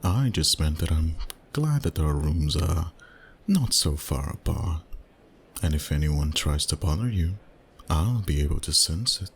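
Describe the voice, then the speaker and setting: A man voicing a dominant, flirty, suave vampire boss in an intimate roleplay ASMR style, teasing and unhurried, with courtly phrasing and pet names. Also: extremely low pitch, animated, gravelly, slightly rough, full character voice.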